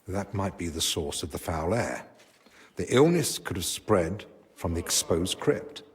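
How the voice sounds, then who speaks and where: Medieval court physician, lowish voice, measured, reasonable, diplomatic, educated, game cutscene drama. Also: male voice, lively intonation. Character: learned, reasonable male royal physician in medieval Bohemia.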